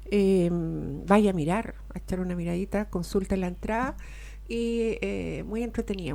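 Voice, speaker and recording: A woman's voice: medium pitch, vocal fry. A woman with a Chilean accent hosting a casual radio talk show in a studio, chatting and reading sponsor spots. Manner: chatty, warm asides, occasional laughs and filler "eh".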